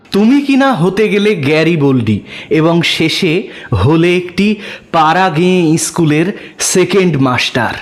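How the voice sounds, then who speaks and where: A man narrating a literary story in the first person, slow and measured, with his pitch dropping low at the ends of phrases. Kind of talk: scripted audiobook narration.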